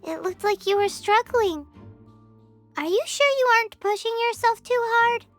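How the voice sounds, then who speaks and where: A woman's voice: extremely high pitch, moderate pace, soft background music. A woman voicing a tiny childlike fairy companion in a video game, chirpy, blunt and nosy. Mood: concerned. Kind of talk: video game character acting.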